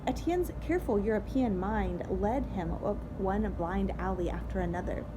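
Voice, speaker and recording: A woman's voice: mid-range voice, moderate pace. A woman reading a storybook aloud expressively, in a noisy home recording. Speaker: story reader.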